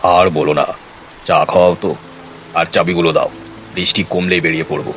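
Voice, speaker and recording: A man's voice: low-pitched, flat delivery, a little fast, fairly bright tone, soft background music. A man playing a bold, impatient village commuter with a brusque, exclaiming, colloquial way of talking in a cartoon story. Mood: brisk. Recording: faint rain noise behind.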